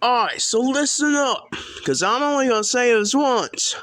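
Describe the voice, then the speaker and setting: A man's voice: extremely high pitch, animated, fairly bright tone, no room sound, studio quality. A man playing a grandiose, menacing god-king villain in a fan audio drama, drawing out his threats. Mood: menacing, commanding. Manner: grandiose, menacing, slow and drawn-out. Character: megalomaniac self-proclaimed god king villain, male.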